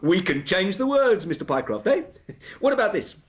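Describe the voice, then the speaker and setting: A man's voice: sits high, sing-song, normal speed. An actor playing a pompous, avuncular, slyly jovial male employer in a period radio drama, with a patronising, theatrical manner.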